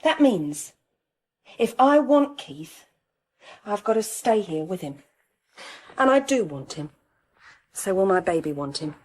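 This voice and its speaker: An actress playing a weary, defiant young pregnant woman in a radio crime drama, husky, with a wry, knowing manner. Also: mid-range voice, sing-song, takes it slowly, long pauses, a little mellow. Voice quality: husky, breathy.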